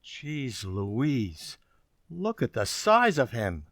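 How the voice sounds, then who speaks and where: A man's voice: medium pitch, very animated, extremely slow, dry recording, clean studio sound, exaggerated voice. A man playing a wry, reflective official who tells his story conversationally in a radio play. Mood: startled, skeptical. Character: male official narrating first contact, wry, reflective. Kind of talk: first-person radio play narration. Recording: clearly noisy background.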